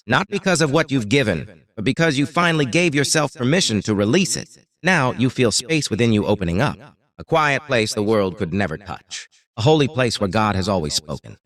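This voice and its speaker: Man, guided meditation narrator, soothing, deliberate, short paused phrases, highly varied intonation.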